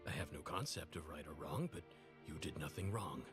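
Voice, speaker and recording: A man playing a very deep, stoic devotee. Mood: solemn. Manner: slow, solemn, formal. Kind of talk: comedic fan-dub acting. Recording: fan dub with loud background music.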